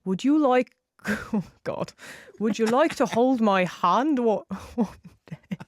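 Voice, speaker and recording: A woman's voice: fairly high pitch, animated, slow. A woman playing a shy, awkward schoolgirl in a comic audio drama, hesitant and understated. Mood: shy, tentative.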